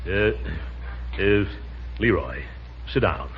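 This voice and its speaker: An actor playing a pompous, flustered but soft-hearted middle-aged uncle in a 1940s radio comedy, stammering and chuckling. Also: male voice, fairly low pitch, lively intonation, slow. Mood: blustery, lecturing. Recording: muffled, noisy 1940s radio broadcast.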